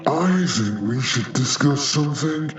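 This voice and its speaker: Man acting a slow, pompous, echoing demon duke. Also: medium pitch, animated, close to an everyday voice. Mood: haughty. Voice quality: lugubrious, sickly-smooth. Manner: pompous, drawn-out declaiming. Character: proud, menacing, ancient demon duke, ranting and superior. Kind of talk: theatrical audio drama villain. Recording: muffled, echoing, with music underneath.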